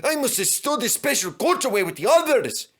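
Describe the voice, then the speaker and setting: An anxious Scottish twin engine from a children's story, acted by a man. He sounds guileless and hesitant, with a high, lifting voice. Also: sing-song, fairly quick, a bit bright, breathy edge, exaggerated voice. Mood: dismayed.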